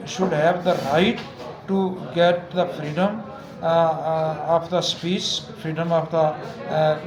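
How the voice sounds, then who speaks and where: An older man, an advocate, answering interview questions haltingly with many fillers and restarts. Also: fairly high pitch. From Azad Kashmir. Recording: echoey with crowd noise.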